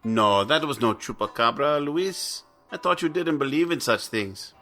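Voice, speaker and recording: An actor playing a down-to-earth farm worker, speaking emphatically in an audio horror drama. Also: male voice, medium pitch, expressive, moderate pace, pushed and bright, faint music behind. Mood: dismissive. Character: sensible, dismissive Mexican farm worker man, friendly.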